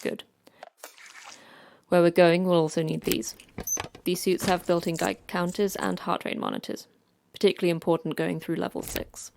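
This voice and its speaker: A woman acting as a terse station manager from a sci-fi horror podcast. Her voice sits low with a heavy vocal fry. She instructs like someone used to command, over faint background noise. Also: level tone, normal speed, a little mellow. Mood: grave, instructive. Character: terse, stern female manager of secret Antarctic facility. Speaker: station manager of secret facility.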